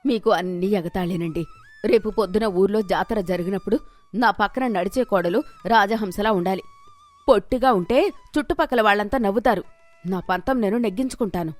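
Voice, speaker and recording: A woman's voice: medium pitch, brisk, a little mellow, dry recording, faint music behind, close to an everyday voice. A woman voicing a proud, fussy village mother-in-law with a mocking, domineering way of talking, in a moral story. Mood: stubborn. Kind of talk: Telugu moral story character voice.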